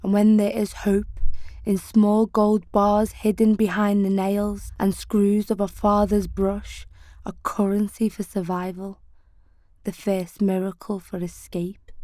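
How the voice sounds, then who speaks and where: A woman poet giving a slow, measured, solemn spoken-word poetry reading. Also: mid-range voice, a little mellow, no room sound.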